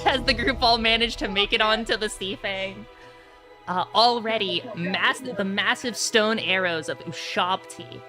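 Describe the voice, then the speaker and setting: A woman running a tabletop roleplay game as game master, narrating casually over music. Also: medium pitch.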